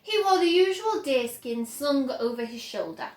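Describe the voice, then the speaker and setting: High-voiced young woman reading a story. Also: animated, normal speed, darkish tone. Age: young adult. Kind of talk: expressive children's story reading. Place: bright plant-filled room indoors. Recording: roomy indoor echo.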